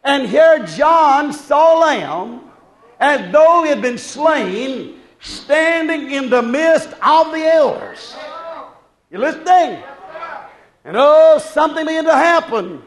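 A man preaching a fervent revival sermon, shouting exclamations, repeating refrains and calling on the congregation to respond. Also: very high-pitched, poor audio. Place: preaching live before a congregation. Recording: live congregation heard around the preacher. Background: congregation crowd.

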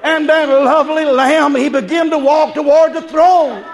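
A man preaching a fervent revival sermon, shouting exclamations, repeating refrains and calling on the congregation to respond. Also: very high voice, moderate pace. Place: preaching live before a congregation. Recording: live congregation heard around the preacher. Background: congregation crowd.

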